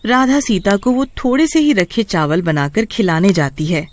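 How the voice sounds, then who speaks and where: A woman's voice: mid-range voice, big pitch swings, moderate pace, dry recording. A woman storyteller reading scripted narration of a tale.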